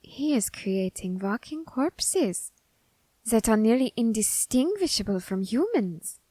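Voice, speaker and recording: A woman playing a scholarly, quietly menacing Grim Reaper in an ASMR roleplay, speaking in formal, deliberate phrases with pauses. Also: fairly high pitch, very animated, no echo, full character voice. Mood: grave, confiding. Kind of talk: ASMR character roleplay audio drama.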